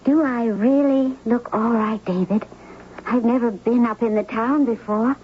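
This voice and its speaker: An actress playing a mysterious, gentle young woman from the sea, earnest and pleading in a 1970s radio drama. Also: on the high side, a little slow, lo-fi sound, natural voice. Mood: shy, polite. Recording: noisy, band-limited 1970s radio broadcast recording.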